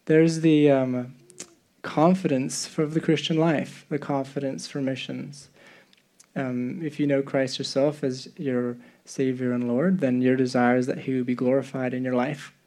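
A man from the Czech Republic speaking as a missionary preacher, giving an earnest, halting talk to a congregation and often rephrasing himself. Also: medium pitch, little pitch movement, warm tone. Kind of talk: sermon and missions presentation to a congregation.

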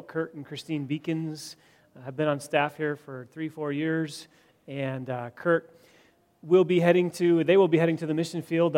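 A man, a pastor, hosting a question-and-answer time at his church, talking in a halting way with many "uh"s. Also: a little high, darkish tone. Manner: hesitant, filler-heavy speech. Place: church fellowship hall.

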